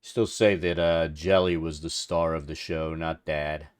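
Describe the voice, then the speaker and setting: A middle-aged man with a deep radio-like voice, chatting casually and thinking aloud as he hosts a livestream for his viewers. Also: fairly even intonation, a little slow, clear, resonant tone. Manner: rambling, informal, thinking aloud. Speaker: livestream host. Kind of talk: casual chat with stream viewers while working.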